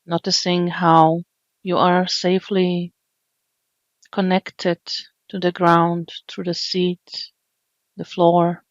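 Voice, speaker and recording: A woman leading an unscripted guided body scan meditation, speaking gently and soothingly with long pauses. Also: on the low side, completely flat delivery, no room sound, muffled recording. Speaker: meditation teacher.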